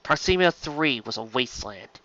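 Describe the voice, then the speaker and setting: A man's voice: mid-range voice, lively intonation, moderate pace, sharp tone, no room sound, lo-fi sound. A man reading science fiction aloud as dramatic scripted narration. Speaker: reader of fan fiction.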